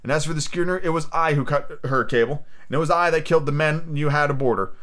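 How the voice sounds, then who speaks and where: A man's voice: on the high side, lively intonation, a little fast, fairly bright tone, pitched above the performer's own voice. A man voicing a bold, defiant boy hero in a pirate adventure story, earnest and declaiming. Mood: bold, excited.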